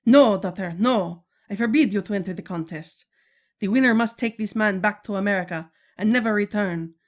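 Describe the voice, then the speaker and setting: A woman's voice: medium pitch, moderate pace, lightly acted. A woman playing a protective, commanding Amazon queen in a stately, formal style of 1940s radio serial acting. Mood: firm, anguished.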